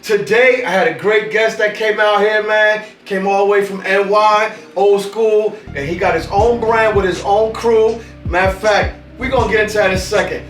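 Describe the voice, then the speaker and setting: A man's voice: sits high, steady tone, moderate pace, hardly any pauses, soft background music. Laid-back man hosting, echoey and distant. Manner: laid-back street slang, shout-outs. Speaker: host. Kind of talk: casual interview chat.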